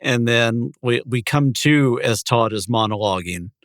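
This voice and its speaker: A man with a medium-pitched voice talks casually as a podcast co-host, thinking out loud in conversation. The recording is dry, as if close on a studio mic. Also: measured pace, clean tone, no echo.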